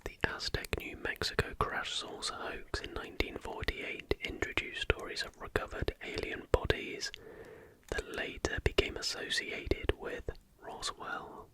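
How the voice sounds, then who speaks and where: An ASMR narrator who whispers from start to finish. The delivery is soft and unhurried, following a script like a documentary read, with breath in place of voiced tone. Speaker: host.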